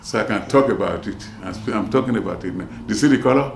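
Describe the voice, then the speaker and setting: A man's voice: on the low side, fast talker, roomy sound, a little hiss or hum. A man in his seventies from Nigeria, with a mixed Nigerian and American accent, telling his story in a rambling way with many restarts. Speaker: interviewee, former prison officer. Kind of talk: casual street interview.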